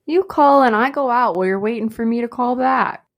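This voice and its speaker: A woman's voice: a little high, a little fast, natural voice. An actress playing a nervous, self-mocking young woman who feels queasy, in a radio play. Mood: anxious. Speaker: actor.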